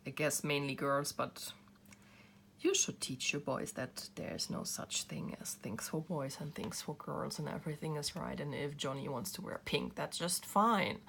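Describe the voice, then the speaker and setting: Slow, gravelly-voiced German woman chatting. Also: deep voice, fairly bright tone, a little hiss or hum. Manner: chatty, self-deprecating, laughs often. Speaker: craft channel host. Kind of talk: casual chat to camera.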